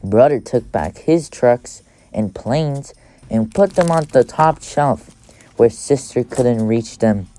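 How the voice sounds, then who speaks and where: A woman's voice: a bass voice, animated, slow, mellow. A woman narrating a children's storybook as an audiobook reader, with music behind her.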